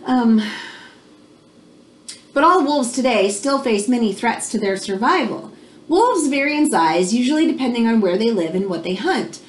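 An American woman in her thirties reading a children's nonfiction book aloud at home, pausing to explain things simply like a teacher. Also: fairly high pitch, lively intonation. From United States. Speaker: read-aloud host for children. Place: small room at home, indoors.

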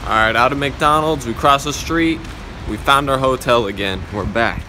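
A man's voice: medium pitch, moderate pace. Young man vlogging into his phone in the street. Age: young adult. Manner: upbeat, chatty, addresses viewers directly. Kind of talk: casual travel vlog narration. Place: streets and shops of a city, often outdoors in rain. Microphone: phone camera at arm's length. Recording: phone audio with loud street noise.

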